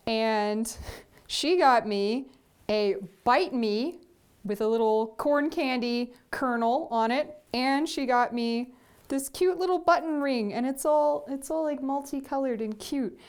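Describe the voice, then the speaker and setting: A woman's voice: high voice, clean tone. Gushing young woman unboxing gifts. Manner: gushing, repeats "sweet" often. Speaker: vlogger. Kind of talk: casual gift unboxing chat.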